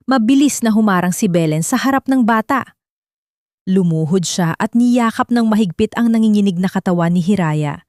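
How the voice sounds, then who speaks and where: A woman narrates an audio drama with a dramatic, scripted delivery. Her pitch is medium for a woman. The recording is very dry, with hardly any room in it. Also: a little mellow, no room sound.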